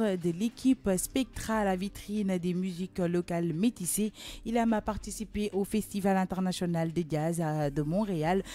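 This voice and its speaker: A woman's voice: medium pitch, dark tone. A woman radio host reading a guest's bio from a prepared script. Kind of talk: scripted presenter introduction.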